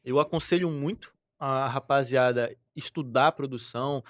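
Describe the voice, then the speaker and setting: A man's voice: medium pitch, moderate pace, no room sound, studio quality. A young Brazilian man co-hosting a music podcast, laid-back and slangy as he talks about songwriting. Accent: Brazilian Portuguese. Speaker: podcast co-host, singer and lyricist. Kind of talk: casual podcast chat.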